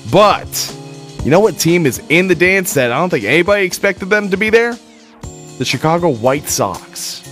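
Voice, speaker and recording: A male radio host talking sports in a loose, excitable way. His voice sits a little high, and there is faint music behind him. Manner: excitable, joking. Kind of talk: casual radio chat.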